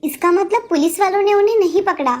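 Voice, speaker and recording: A woman voicing an earnest, eager young girl with a childlike manner in a children's animated story. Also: very high-pitched, fast. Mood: anxious questioning. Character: earnest young village girl, determined daughter.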